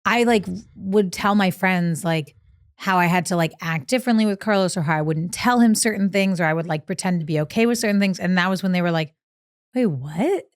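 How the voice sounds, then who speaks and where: A young woman co-hosting a podcast, chatting casually and telling stories with quick opinions. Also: on the low side, no room sound, clean studio sound. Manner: animated storyteller, quick opinions. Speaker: podcast co-host. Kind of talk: casual recap chat.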